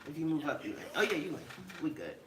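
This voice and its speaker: A young woman co-hosting a casual talk show, her voice hoarse and cracking, chatting with many fillers and restarts. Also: deep voice, expressive, fast, roomy sound, background noise. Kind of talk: casual chat.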